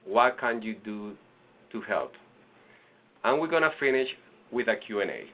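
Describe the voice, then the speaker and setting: Man from venezuela, nonprofit director, webinar talk, halting speech, dull lo-fi sound. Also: fairly low pitch, big pitch swings. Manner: halting, with restarts and fillers. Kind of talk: webinar presentation. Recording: lo-fi webinar audio.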